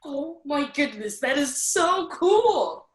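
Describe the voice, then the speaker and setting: A woman's voice: high-pitched, sing-song, slow pace, full character voice. A woman playing a brash, eager young fairy-tale heroine in a radio play, cheeky and enthusiastic, in an echoey room. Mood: excited.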